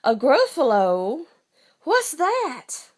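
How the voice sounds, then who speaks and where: A woman's voice: high-pitched, wildly swooping pitch, drawn-out words, a little mellow, voice pushed up, exaggerated voice. Woman playing a sly, coaxing storybook fox. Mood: surprised. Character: sly hungry storybook fox luring prey. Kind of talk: bedtime storytelling.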